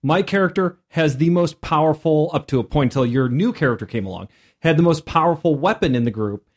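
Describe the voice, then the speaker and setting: A man with a somewhat higher-pitched voice chats casually about tabletop gaming. He speaks as a co-host and player in relaxed, conversational talk. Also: fairly quick.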